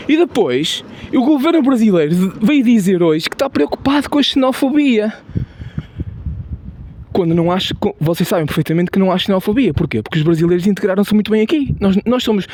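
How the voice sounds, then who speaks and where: High-voiced Portuguese man ranting. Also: darkish tone, a little hiss or hum. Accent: European Portuguese. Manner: halting and repetitive, many restarts, emphatic. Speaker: video commentator. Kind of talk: unscripted opinion monologue.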